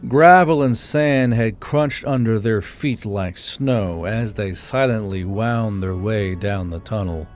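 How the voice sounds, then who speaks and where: A man's voice: on the low side, slow pace, few pauses, soft background music. A man reading scripted military fiction as an audiobook narrator.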